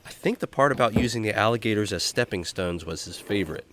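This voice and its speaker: Upbeat male explorer-host acted by a man, children's audio drama; showman-like, very expressive. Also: lowish voice, quick pace, a little hiss or hum. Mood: friendly. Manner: showman-like, friendly, emphatic. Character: upbeat jungle explorer man hosting a kids' story show. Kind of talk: kids' radio drama acting.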